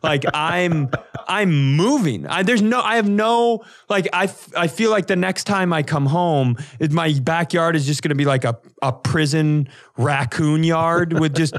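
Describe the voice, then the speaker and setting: A man's voice: fairly high pitch, unhurried. A man co-hosting a comedy podcast, telling casual stories in a rambling, profane way with comic exaggeration.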